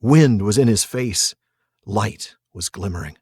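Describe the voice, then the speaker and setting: A man's voice: fairly low pitch, measured pace, a little mellow, breathy edge, no room sound, studio quality. A man hosting a podcast, moving between casual talk and dramatic reading of fantasy prose. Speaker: podcast host.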